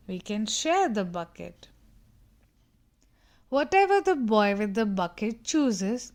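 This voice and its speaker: A woman's voice: a little high, a little mellow. A woman reading a picture book aloud to young children in an instructive way, putting questions to her listeners.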